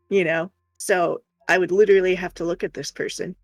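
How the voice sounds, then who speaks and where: A woman's voice: lowish voice, steady tone, moderate pace, no room sound. A woman streamer chatting casually and telling stories in a frank, exasperated, sweary way. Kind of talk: casual storytelling chat. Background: faint music.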